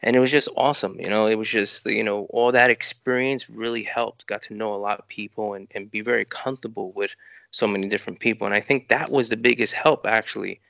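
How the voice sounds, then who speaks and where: A man's voice: on the low side, steady tone, quick pace, gravelly, a bit bright, dry recording. A middle-aged man with a New York accent, a filmmaker rambling through interview answers with many restarts and "you know" fillers. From New York City. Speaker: filmmaker guest.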